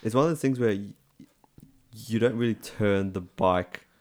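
A man's voice: fairly low pitch, normal speed, a little mellow. A young man with a strong Australian accent, talking in a laid-back casual chat full of slang and "like". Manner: laid-back slangy talk, lots of "like" and "bro".

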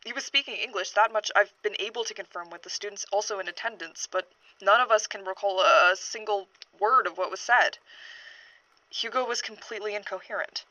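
A woman playing a troubled university scientist giving an earnest, halting witness statement in a horror audio drama. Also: medium pitch, pushed and bright, no room sound, highs cut off, natural voice. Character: troubled female university biologist reporting a missing colleague.

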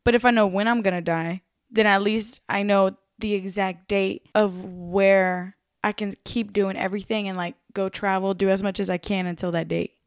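A woman's voice: medium pitch, fairly even intonation, moderate pace, clear, resonant tone, no room sound, studio quality. A young woman co-hosting a podcast, chatting in a laid-back, slangy way. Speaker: podcast co-host. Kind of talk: casual chat.

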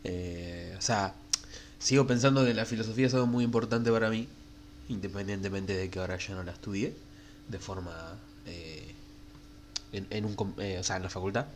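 Young Argentine guy with a bad cold, blocked nose, slightly low voice, rambling crudely through his weekly podcast. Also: moderate pace, a little hiss or hum. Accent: Rioplatense Spanish. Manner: rambling, crude and frank, many fillers. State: stuffy nose from a cold, coughing. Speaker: podcast host. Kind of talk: casual solo podcast monologue.

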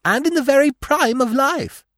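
A man voicing an excitable, self-important male squirrel with a fretful, protesting manner in a storybook. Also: high-pitched, normal speed, husky, dry recording, studio quality, pitched above the performer's own voice, exaggerated voice. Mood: lamenting. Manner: pettish, protesting, lamenting.

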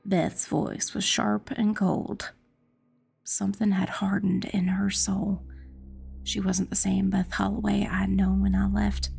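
A woman reading a dramatic first-person dark fantasy narration as an audiobook narrator. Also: medium pitch, monotone, slow pace, vocal fry, slightly rough. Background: faint music.

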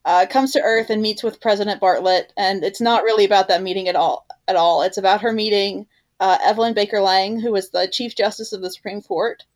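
A woman's voice: mid-range voice, steady tone, brisk. A woman co-hosting a podcast, talking in a casual, conversational style. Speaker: podcast co-host.

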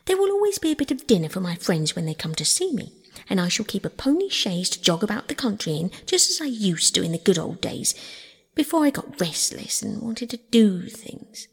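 Woman voicing a dramatic, husky gentleman toad. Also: mid-range voice, big pitch swings, brisk, big-room sound. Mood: eager, placating. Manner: chatty, old-fashioned genteel, dramatic. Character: conceited, excitable, penitent male toad of gentlemanly manner.

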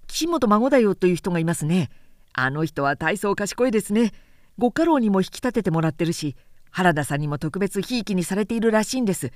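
Woman voicing a breezy, fast-chattering young samurai gossip. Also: mid-range voice, sing-song, darkish tone, dry recording, clean studio sound, natural voice. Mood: breezy, gossipy. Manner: breezy, blunt, rapid chatter.

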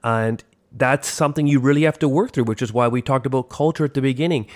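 A man in his forties co-hosting a casual podcast chat, with a big booming theatrical delivery. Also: fast talker. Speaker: podcast co-host, teacher.

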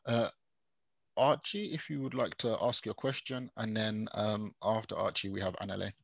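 A man with a gravelly, husky voice runs an online Q&A. He stumbles and repeats words as the questions pile up, and the sound is dry and close. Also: lowish voice, steady tone, moderate pace, no room sound. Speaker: moderator. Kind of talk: moderating an online Q&A.

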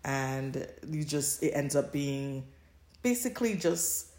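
A woman's voice: low voice, animated, slow pace, darkish tone, roomy sound. A woman co-hosting a podcast in casual chat, recapping stories in an informal, conversational way. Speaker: podcast co-host.

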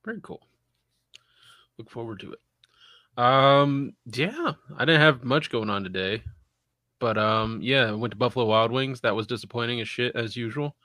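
Bright, crisp-sounding man with dry quips. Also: mid-range voice, animated, normal speed, long pauses, dry recording. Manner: dry quips, reads chat, short replies. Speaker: podcast co-host. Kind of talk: casual live-stream chat.

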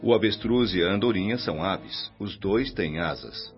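An actor playing a calm, kindly spirit mentor in a Brazilian radio drama, measured, reassuring and didactic. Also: male voice, low-pitched. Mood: patient, instructive. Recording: dull lo-fi radio recording, music behind.

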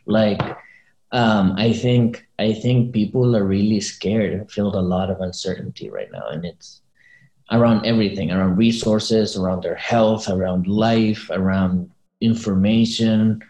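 A man's voice: lowish voice, measured pace, dark tone. Casual man in an echoey room. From Chile. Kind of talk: casual chat.

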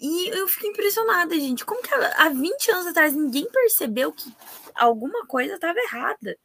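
A woman with a Brazilian Portuguese accent hosting a casual podcast interview, talking in an animated, emphatic way and often exclaiming. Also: extremely high pitch, normal speed. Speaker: podcast host.

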